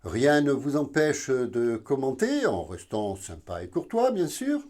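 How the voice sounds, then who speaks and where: A man from southern France hosting an educational video, explaining informally in a halting, didactic way. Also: medium pitch, sing-song, darkish tone. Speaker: video channel host.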